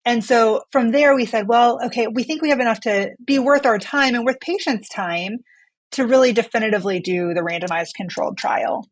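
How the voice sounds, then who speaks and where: A woman's voice: on the high side, brisk, no echo. A woman physician being interviewed as a study author, explaining research in a conversational way and posing questions she then answers.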